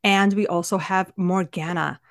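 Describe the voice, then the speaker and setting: A woman's voice: fairly low pitch, steady tone, measured pace, no echo, studio quality. A woman co-hosting a podcast, chatting casually with playful jokes. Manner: joking, playful. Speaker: podcast co-host.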